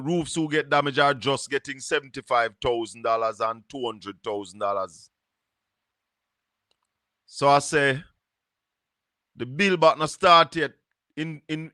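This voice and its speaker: Jamaican guy on a live stream, talks slow and thoughtful, bright voice, patois here and there. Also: a little high, no echo, clean studio sound. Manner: slow, musing, drops into patois. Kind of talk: casual live-stream commentary.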